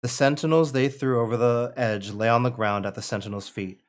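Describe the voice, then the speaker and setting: A man's voice: medium pitch, fairly even intonation, fairly quick. An American man leading a script table read as writer and narrator, reading and chatting casually. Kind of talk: casual reading of screenplay action and post-read chat.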